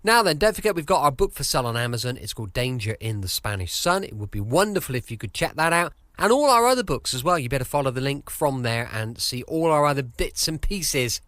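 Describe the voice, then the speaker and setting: A man's voice: mid-range voice, sing-song, fairly quick, hardly any pauses, a bit bright, no echo, studio quality. A British man hosting a podcast, chatting casually and informally in a sing-song way. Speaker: podcast host.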